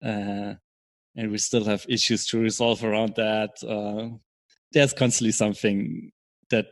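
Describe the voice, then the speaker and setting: A man answering as an interview guest in casual chat, explaining things in a measured, hesitant way. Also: fairly low pitch, flat delivery, no echo. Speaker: podcast guest, software startup co-founder.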